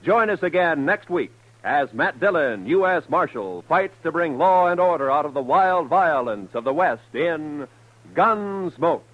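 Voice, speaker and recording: Animated 1950s radio announcer. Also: male voice, fairly high pitch, a little slow. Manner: crisp formal announcer delivery. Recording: dull old radio broadcast with faint music.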